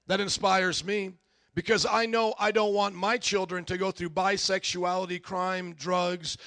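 A man's voice: high-pitched, creaky, sharp tone, no echo. A man preaching a sermon to a church congregation, with rising calls of amen. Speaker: pastor.

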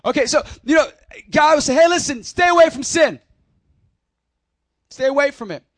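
Man preacher, high voice, casual and emphatic, close dry sound, calls for repeat-after-me. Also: animated, normal speed, no room sound. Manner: casual, emphatic preaching with slang and call-and-response. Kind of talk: sermon. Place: conference hall.

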